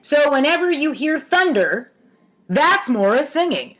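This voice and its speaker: A woman's voice: high-pitched, very animated, unhurried, fairly bright tone. A woman reading stories aloud to viewers, theatrical in the reading with wry, casual, offhand commentary. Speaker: host reading aloud childhood writing.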